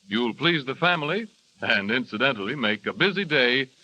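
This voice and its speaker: A man reading a scripted commercial as radio announcer, smooth and persuasive. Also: mid-range voice, expressive, moderate pace, pushed and bright. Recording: dull vintage radio recording.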